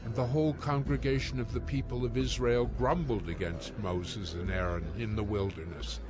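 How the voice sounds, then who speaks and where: A man's voice: medium pitch, steady tone, normal speed. A man giving scripted narration of scripture as the narrator of an audio production. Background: music.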